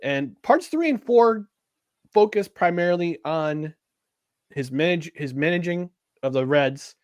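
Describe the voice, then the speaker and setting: A young American man talks loosely on a podcast, his voice sitting a touch higher than most men's. He rambles, corrects himself and laughs at his own jokes. The sound is dry and close, with no room around it. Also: lively intonation, a little slow, a little mellow, no echo. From United States. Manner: rambling, self-deprecating, laughs often. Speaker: podcast co-host. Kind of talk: casual podcast chat. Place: upstate New York.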